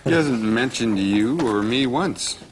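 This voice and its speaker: An actor playing a goofy, self-important small-town cop with a deadpan manner, at a sitcom read-through. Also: male voice, mid-range voice, takes it slowly. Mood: miffed. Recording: reverberant room, some background noise.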